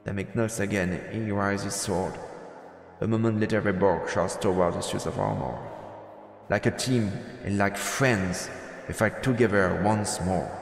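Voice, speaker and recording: A man solemnly narrating a fantasy game on a livestream, measured and dramatic, in big reverb over music. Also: fairly low pitch, gravelly. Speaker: let's play streamer narrating. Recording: echoey, long reverb, music behind. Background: background music.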